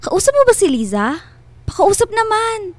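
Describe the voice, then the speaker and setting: A gushing, high-voiced female family friend. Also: sing-song, normal speed, no room sound. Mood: sweet, reassuring. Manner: gushing, breezy, over-sweet. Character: chirpy, ingratiating female family friend, subtly possessive. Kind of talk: radio drama acting.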